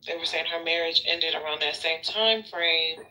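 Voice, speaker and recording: A person in a casual group voice chat, gossiping with relaxed, hesitant fillers. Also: male voice, on the high side, moderate pace, slight background noise, low-quality recording.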